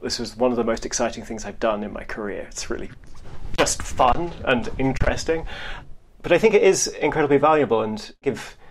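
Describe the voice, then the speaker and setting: A man's voice: medium pitch, brisk, slight background noise. A man giving explanatory interview answers as an academic historian, often repeating and rebuilding his phrases as he goes.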